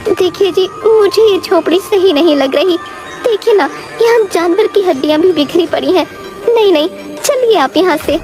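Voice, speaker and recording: A woman's voice: lively intonation, moderate pace, faint music behind. A woman voicing a worried, suspicious wife who complains anxiously, in an acted children's story. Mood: worried, complaining. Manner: complaining, anxious, high-voiced.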